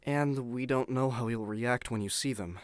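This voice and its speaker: A man's voice: mid-range voice, moderate pace, dry recording, natural voice. A man playing an easygoing, candid spaceship crewmate in an audio drama, casual and reassuring. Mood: tactful.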